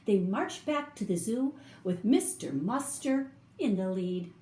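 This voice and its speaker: Expressive woman reading aloud in a roomy space. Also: mid-range voice. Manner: expressive, drawn-out emphasis for young listeners. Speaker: storybook reader. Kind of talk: children's picture book read-aloud. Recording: roomy echo, faint background noise.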